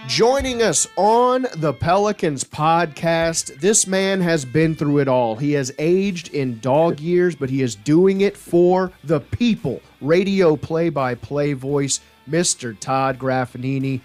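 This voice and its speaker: A man's voice: fairly high pitch, slow pace, hardly any pauses, a little mellow, faint music behind. A man hosting a sports podcast, chatting casually with a playful, hyped delivery. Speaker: podcast host.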